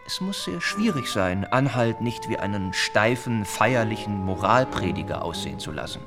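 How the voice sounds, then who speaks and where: An actor playing a principled, reserved young gentleman in a radio drama, measured, formal and firm. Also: on the low side, very animated, over loud music. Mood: dry, reserved.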